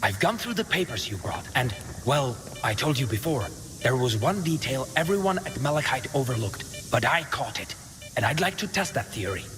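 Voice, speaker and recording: An actor playing an eager, self-assured scientist in a video game, speaking in an articulate, insistent way. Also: male voice, mid-range voice, very animated, normal speed, a bit bright, faint music behind, rough recording. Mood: confident, intent.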